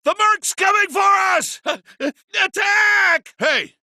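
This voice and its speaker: An actor playing an excitable, cowardly bandit underling in a video game, shrill and gravelly. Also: male voice, slow pace, no echo, raised voice, studio quality, heavily acted. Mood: panicked, aggressive. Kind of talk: video game character barks.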